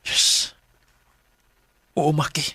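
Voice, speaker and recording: An actor playing a young lovestruck Cebuano man in an emotive radio drama, with a husky voice and a Cebuano accent. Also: extremely slow, sharp tone, no echo, exaggerated voice. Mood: tender, confessing. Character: young lovestruck Cebuano man confessing and narrating his story.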